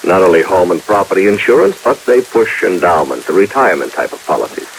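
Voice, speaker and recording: A man's voice: low-pitched, sing-song, fast, poor audio. A man playing an earnest, businesslike insurance agent who explains emphatically in a 1950s radio drama, on a hissy vintage recording.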